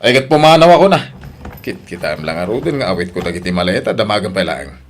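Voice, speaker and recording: A man's voice: on the low side, sing-song, fast talker, a little hiss or hum. Man acting blustering father, swooping delivery. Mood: irritated. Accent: Ilocano. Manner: brusque, blustering. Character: brusque, defiant philandering father leaving his family. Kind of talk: Ilocano radio-style drama acting.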